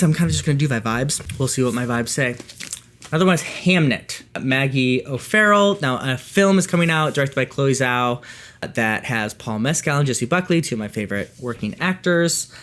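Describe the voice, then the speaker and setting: A man's voice: fairly high pitch, a bit bright. An American man talking casually and enthusiastically to viewers as a vlogger, often correcting himself in an informal way. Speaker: book and film vlogger.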